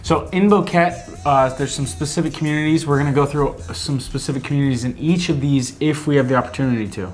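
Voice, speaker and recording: A man co-hosting a casual chat, relaxed and rambling, with fillers and restarts. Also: a little high, fast, few pauses, soft background music. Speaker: co-host.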